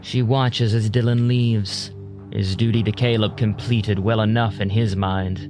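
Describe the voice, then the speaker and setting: A man narrating an audio drama in a deliberate, brooding, scripted storytelling style. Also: on the low side, fairly even intonation. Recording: loud music bed under voice.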